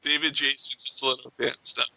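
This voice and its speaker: A man's voice: medium pitch, level tone, fairly quick, gravelly, sharp tone, dry recording, low-quality recording. A man doing a casual radio interview in an eager, chatty manner.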